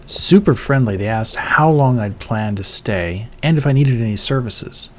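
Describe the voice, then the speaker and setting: A man narrating a vlog in a measured, scripted voice-over, telling things in an orderly way. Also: mid-range voice, expressive, a little hiss or hum.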